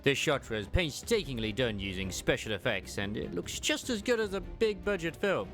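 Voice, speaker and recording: A man's voice: mid-range voice, big pitch swings, normal speed, a bit bright, soft background music. A man reading scripted story narration as a voice-over for a fandub.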